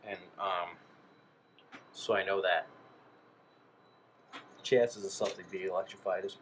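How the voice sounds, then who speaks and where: Low-voiced man musing aloud, noisy recording. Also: steady tone, a little slow, fairly bright tone. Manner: tentative, musing aloud in fragments. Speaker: hobbyist demonstrating a radio-to-amp conversion. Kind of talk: casual thinking-aloud workbench narration. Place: indoor workbench. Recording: clearly noisy room recording.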